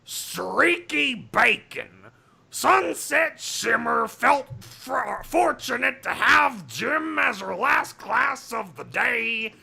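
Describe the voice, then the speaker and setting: A man putting on a raspy, strained, grating comic narrator voice to read a story in a mock-dramatic way. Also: extremely high pitch, animated, extremely slow, sharp tone, heavily acted. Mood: strained, exaggerated. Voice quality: raspy, gravelly. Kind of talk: mock-dramatic reading of fan fiction.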